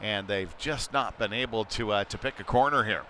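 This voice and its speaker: A man's voice: fairly low pitch, moderate pace, bright, forceful tone, a little hiss or hum. A man doing live play-by-play commentary of a youth hockey game, with conversational, somewhat hesitant phrasing between plays. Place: indoor ice rink.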